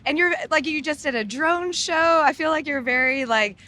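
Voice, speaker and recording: High, bright-voiced woman host. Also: moderate pace. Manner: excited, gushing, restarts questions. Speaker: podcast host. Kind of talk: casual interview. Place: busy music festival.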